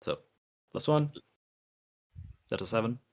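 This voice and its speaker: A man's voice: fairly low pitch, very animated, takes it slowly, darkish tone. A man playing a tabletop game, tentatively describing his character's scene as he makes it up. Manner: tentative, piecing sentences together.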